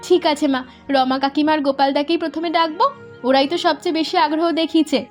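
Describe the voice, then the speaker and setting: A woman voicing an earnest, eager young village daughter in an animated cartoon. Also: sits high, quick pace, soft background music, natural voice.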